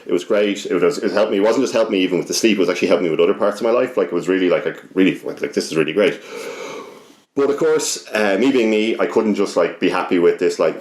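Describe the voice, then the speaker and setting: A man's voice: low voice, quick pace, darkish tone. An Irish man telling a personal story as a podcast guest, casual, self-deprecating and sweary, rambling with plenty of hesitation. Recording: roomy, echoing podcast recording.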